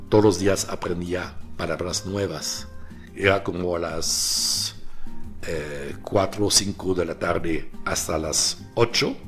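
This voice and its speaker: Deep-voiced French-speaking man. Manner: thoughtful, hesitant fillers. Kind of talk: documentary interview.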